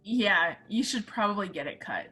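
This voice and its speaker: A woman's voice: mid-range voice, animated, normal speed, pushed and bright, soft background music, poor audio. A woman playing a spunky, loyal young woman in a film table read, with a supportive manner. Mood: curious.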